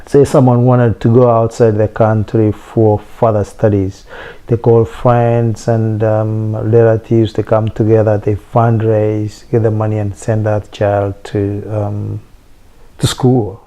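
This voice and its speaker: A man's voice: lowish voice, level tone, slow pace, dark tone. A man from Kenya, earnestly explaining things in an interview with hesitant fillers.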